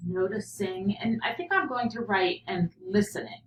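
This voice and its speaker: A woman's voice: mid-range voice, moderate pace, rough recording. A middle-aged woman who teaches, reading a children's story aloud and reflecting on it in a thoughtful, think-aloud way. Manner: reflective, thinking out loud, often drops to a murmur while noting ideas. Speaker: teacher, professor.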